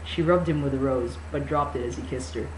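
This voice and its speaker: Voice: medium pitch, a little fast. An amateur reader performs a novel in mock-dramatic style. The delivery is sarcastic and incredulous, with sweary asides. The room echoes and there is faint noise behind the voice.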